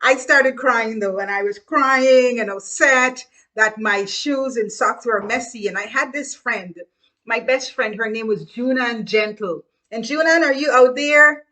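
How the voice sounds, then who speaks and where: Guyanese woman, middle-aged, casual storyteller, bright and high-pitched, chatty with repeated phrases. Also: a little slow, poor audio. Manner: chatty, laughs while reminiscing, repeats phrases. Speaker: host.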